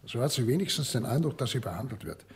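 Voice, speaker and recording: An actor playing a paternal older family doctor in a radio play, husky, grave and consoling. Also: male voice, medium pitch, lively intonation, quick pace.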